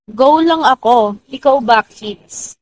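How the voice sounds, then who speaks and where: A woman's voice: on the high side, slow, no echo, low-quality recording. A young woman acting as an easygoing friend in an amateur student radio drama. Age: young adult. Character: easygoing hungry young woman on camping trip.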